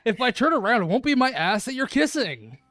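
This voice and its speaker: The smug schoolboy hero of an anime visual novel, brash and lively, mouthing off over background music as a streamer plays him. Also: medium pitch, brisk, no echo, close to an everyday voice. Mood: smug, teasing. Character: cocky teenage boy judo star, brash and crude. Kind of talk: visual novel character reading.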